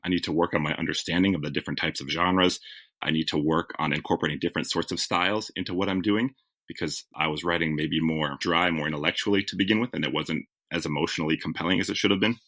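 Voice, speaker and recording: A man's voice: extremely low pitch, fairly even intonation, fast talker, a bit bright. A man being interviewed as a TV writer, telling stories in a reflective, self-correcting conversational way. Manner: reflective, self-correcting, with frequent "like" and "you know". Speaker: guest, TV writer.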